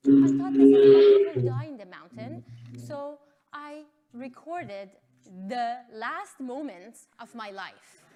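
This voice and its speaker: Woman with giving a talk, really slow, mellow dark tone, voice rises and falls a lot. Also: on the high side. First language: Farsi. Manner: slow, deliberate storytelling. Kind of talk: stage talk.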